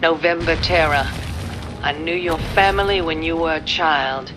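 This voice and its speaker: A woman playing a defeated, defiant middle-aged military general in a video game, speaking in a measured, grave way. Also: sits low, steady tone, over music, lightly acted. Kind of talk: dramatic video game acting.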